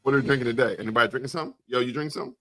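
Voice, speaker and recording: Male podcast host, bright forward voice at medium pitch, relaxed conversational delivery. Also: racing. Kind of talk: casual chat.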